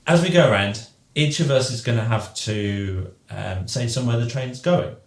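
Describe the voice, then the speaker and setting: A man's voice: mid-range voice, normal speed, gravelly. A man hosting a casual podcast chat, patiently explaining the rules of a group game with plenty of ums. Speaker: podcast host running a game. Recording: roomy echo.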